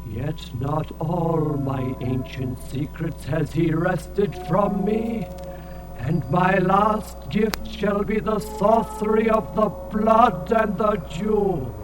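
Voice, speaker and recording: Ancient tormented otherworldly being, fantasy audio play: high register, very slow, archaic and mournful, over music. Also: male voice, poor audio. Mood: anguished, pleading. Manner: archaic, grandiose, lamenting speech. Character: ancient winged alien being, sorrowful, tormented, solemn. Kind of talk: dramatized fantasy audio drama. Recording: music laid under the voice.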